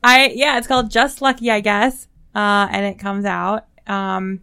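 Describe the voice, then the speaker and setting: A woman's voice: mid-range voice, little pitch movement, measured pace, sharp tone, clean tone. A woman co-hosting a podcast in a casual, conversational style. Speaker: podcast co-host. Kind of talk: casual chat.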